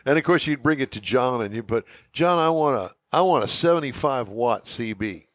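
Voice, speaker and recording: A man's voice: mid-range voice, lively intonation, a little fast, no room sound. Middle-aged man hosting, dry close sound. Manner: joking, teasing. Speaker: radio show host. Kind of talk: casual chatty interview.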